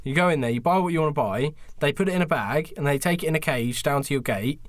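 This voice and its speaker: A man's voice: on the high side, fast, dry recording. A British man co-hosting a podcast in casual banter, argumentative and insistent. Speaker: podcast co-host.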